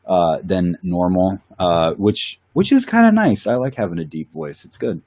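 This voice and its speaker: A man's voice: low voice, sing-song, moderate pace, darkish tone, no room sound. A man with a cold, co-hosting a Bible study in casual, enthusiastic chat. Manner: enthusiastic, with filler words and laughs. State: has a cold.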